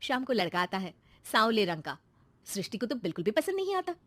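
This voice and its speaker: A woman's voice: fairly high pitch, very animated, fairly quick, a bit bright, no echo. A woman reading a scripted moral story as its narrator.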